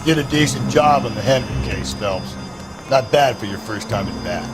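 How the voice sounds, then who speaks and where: Male actor for a middle-aged American homicide cop, hardboiled game drama, gruff, condescending and weary, mid-range pitch. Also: animated, normal speed, over loud music, close to an everyday voice. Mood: grudging, patronizing. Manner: terse, condescending, world-weary. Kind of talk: hardboiled 1940s detective game acting.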